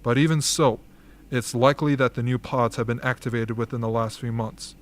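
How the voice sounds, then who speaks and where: A man's voice: medium pitch, fairly even intonation, slight background noise, lightly acted. Man acting a formal, commanding rebel strategist. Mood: grave, instructive. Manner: formal, measured, authoritative. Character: commanding, dryly formal rebel military strategist briefing soldiers. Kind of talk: amateur radio drama acting.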